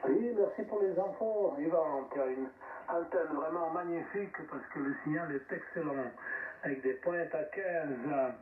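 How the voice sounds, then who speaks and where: Higher-pitched man on narrowband ham radio. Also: unhurried. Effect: radio transmission. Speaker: amateur radio net controller and host. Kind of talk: ham radio net roll call. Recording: narrowband shortwave radio, distant, echoey, hissy.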